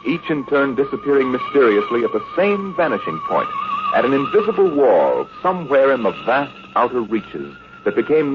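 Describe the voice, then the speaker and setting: A man acting as a grave, portentous narrator in a 1950s American radio drama, speaking deliberately with an American accent. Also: mid-range voice, natural voice. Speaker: announcer and narrator. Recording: old muffled radio recording with loud music.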